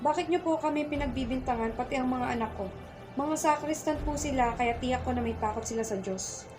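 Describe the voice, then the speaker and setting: Radio drama: woman as meek, pleading mother, high voice, respectful yet steadfast, over clear music. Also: brisk, close to an everyday voice. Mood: distressed. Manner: deferential, pleading. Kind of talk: radio play acting in Filipino.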